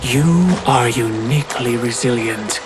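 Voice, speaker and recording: Man acting as a slow, solemn, mysterious tempter. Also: mid-range voice, natural voice, poor audio. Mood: solemn, persuasive. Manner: formal, measured, grandiose. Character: mysterious male tempter, solemn, calculating, grandly persuasive. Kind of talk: dramatic video game cutscene acting. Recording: loud music behind the voice.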